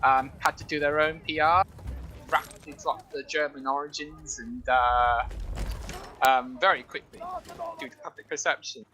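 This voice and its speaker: A man's voice: fairly high pitch, steady tone, moderate pace, bright, forceful tone, slight background noise. A British man chatting casually about history as a co-commentator during a video game. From United Kingdom.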